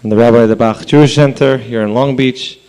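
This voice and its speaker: Hoarse rabbi at a council podium. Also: male voice, mid-range voice, fairly even intonation, fast, darkish tone, low-quality recording. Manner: earnest, conversational, with fillers. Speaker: rabbi speaking at public comment. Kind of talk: public comment speech. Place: council chamber.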